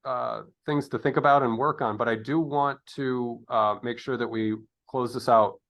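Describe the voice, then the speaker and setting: A man hosting a casual interview podcast, speaking haltingly as he thinks aloud. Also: medium pitch, steady tone, fairly bright tone.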